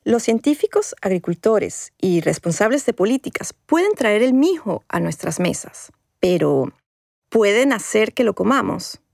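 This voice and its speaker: A woman narrating a scripted documentary podcast as its host, with a measured, formal delivery. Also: mid-range voice, very animated, vocal fry, a little mellow.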